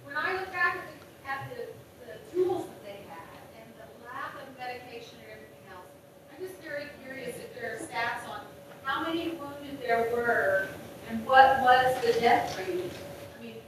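A woman's voice: high-pitched, expressive, moderate pace, a little hiss or hum. A woman in the audience asking a question at a floor microphone in a large auditorium. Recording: very echoey hall, from far off.

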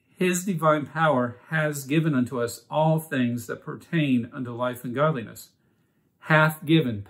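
A man's voice: medium pitch, a bit bright, low-quality recording. Earnest man teaching a Bible study. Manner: earnest, measured, reads scripture aloud.

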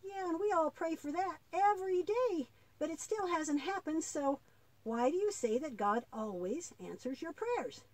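A woman's voice: extremely high pitch, lively intonation, unhurried, a little mellow, no echo, rough recording. A woman voicing an earnest, doubting young boy in a children's puppet play. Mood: disappointed, questioning.

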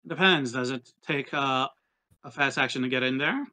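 A man's voice: mid-range voice, normal speed, sharp tone. A man playing a tabletop game online, chatting casually about what he does next.

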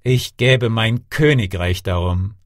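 A man's voice: lowish voice, slow, dry recording, clean studio sound. A man voicing a devoted, impassioned king in a storybook tale, speaking in a declamatory manner. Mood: earnest, resolute. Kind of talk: storybook character voice.

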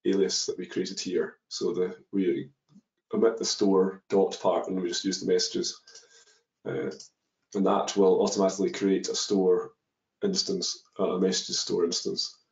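A man's voice: low-pitched, flat delivery, unhurried, creaky. A man presenting a coding webinar, walking through code step by step with many ums and uhs. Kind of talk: live coding walkthrough. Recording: dull echoey webinar audio.

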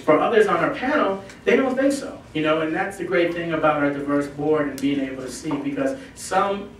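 A man's voice: fairly high pitch, lively intonation, fairly quick, a little hiss or hum. A man in his thirties from Chicago, speaking earnestly and conversationally to a club meeting as a guest, with many ums. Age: 30s. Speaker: guest speaker, film festival founder. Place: meeting room at a lunch gathering. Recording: very echoey room, distant mic.

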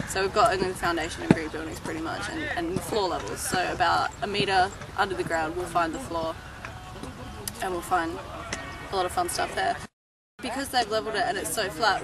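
Chatty woman archaeologist at a noisy dig. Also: mid-range voice. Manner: eager, chatty, restarts phrases. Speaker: archaeologist on the excavation team. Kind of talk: on-site explanation to camera. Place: outdoor excavation site.

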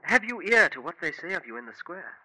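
An actor playing a scheming, flattering nineteen-year-old son with formal period diction in a 1950s radio drama. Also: male voice, a little high, fast talker, slight background noise, full character voice, low-quality recording. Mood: flattering, eager. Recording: vintage 1950s radio recording.

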